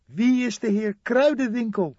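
A man's voice: very high-pitched, a little slow, mellow, no room sound, voice pushed up. A man voicing a young, weary police detective who asks and queries in an audiobook. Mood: puzzled. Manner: querying, slightly exasperated. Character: young male police detective, eager, weary, questioning sidekick. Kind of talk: audiobook character dialogue. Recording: dull lo-fi recording.

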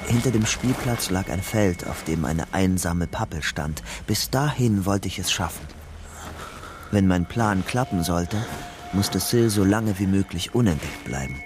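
A man's voice: sits low, expressive, a little slow, noisy background. An actor playing a troubled young man on the run in a German radio crime drama, telling his story in a brooding, intimate way. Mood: tense, brooding. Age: young adult. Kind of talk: radio drama narration and dialogue. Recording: studio radio drama with music underneath.

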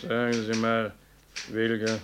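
An actor playing a hesitant, dutiful junior office clerk in a German radio play. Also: male voice, on the low side, monotone, slow, heavy background noise. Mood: puzzled.

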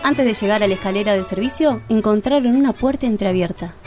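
A woman's voice: medium pitch, quick pace, darkish tone. A woman reading scripted narration for a radio drama. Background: music.

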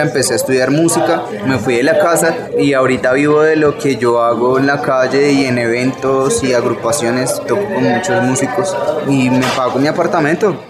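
A man in his twenties with a Colombian accent giving casual, somewhat hesitant testimony as an interviewed musician. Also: medium pitch. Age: 20s. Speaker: street drummer interviewee.

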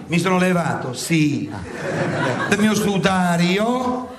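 A man's voice: sits high, lively intonation, background noise. A man playing a boastful, cantankerous folksy saint in a comic stage dialogue, with a theatrical, rambling delivery. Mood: mock-solemn boasting. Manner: theatrical, rambling, drawn-out then bursting out. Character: boastful, cantankerous folksy male saint telling his miracles. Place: theatre stage, live audience. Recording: echoey theatre stage with audience.

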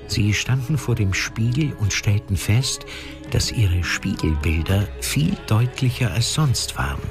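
A male storyteller narrates a fantasy radio drama. His very deep voice moves slowly and deliberately, with loud music underneath. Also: expressive.

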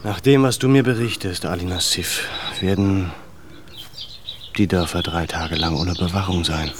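A composed gentleman with a fairly low voice, played by a male actor in a radio play. He questions in a measured, deliberate way, with faint noise behind him. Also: steady tone, close to an everyday voice. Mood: wary, questioning. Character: composed, probing Armenian gentleman, addressed as effendi. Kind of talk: radio drama acting.

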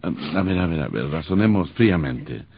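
An actor playing a worried husband in an old radio horror drama, coaxing and hesitant, with a husky voice. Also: male voice, very deep voice, lively intonation, normal speed, heavily acted. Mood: puzzled. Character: worried, soothing husband humouring his wife. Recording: dull old radio recording.

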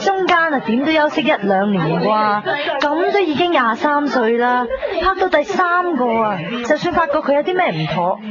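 A brash, bossy young woman with a Cantonese accent, chatty and flippant in a radio drama. Her voice is fairly high, heard through a dull recording with a loud crowd behind her. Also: big pitch swings, moderate pace. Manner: chatty, blunt, exclamatory. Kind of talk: 1990s radio drama dialogue.

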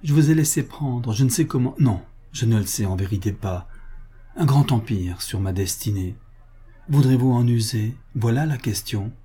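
A man's voice: medium pitch, expressive, moderate pace, dark tone, breathy edge. A man voicing a proud, passionate society lady in love, with emphatic, pleading delivery, in a dramatic reading of a novel. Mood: wounded, pressing.

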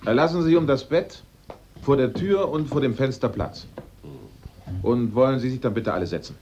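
A man playing a self-assured amateur sleuth in a German radio drama, speaking politely and deliberately. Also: mid-range voice, darkish tone, lightly acted. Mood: deliberate, commanding. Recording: dull radio recording, faint noise.

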